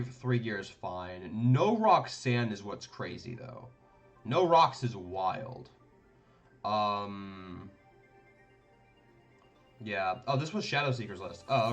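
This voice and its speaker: Young male streamer, mid-pitched, rambling and casual, thinking aloud over faint music. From Denmark. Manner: thinks aloud, rambling with many fillers and restarts. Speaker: card game streamer. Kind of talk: casual live-stream commentary.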